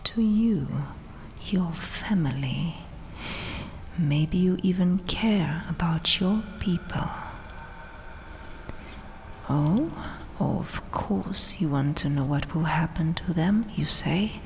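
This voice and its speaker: Lady doing a vengeful vampire queen asmr, breathy gravelly whisper, mocking n regal, faint ambience behind. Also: fairly low pitch, a little mellow, slight background noise. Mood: coolly taunting. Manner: slow, deliberate, mocking, regal. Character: vengeful, newly crowned vampire queen, coldly dominant. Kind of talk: whispered ASMR roleplay monologue.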